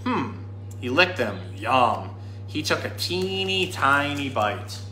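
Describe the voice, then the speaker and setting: A man in his thirties reading a children's story aloud in an animated, dramatic storytime manner. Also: medium pitch, takes it slowly. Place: indoor room. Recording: roomy indoor sound with faint noise.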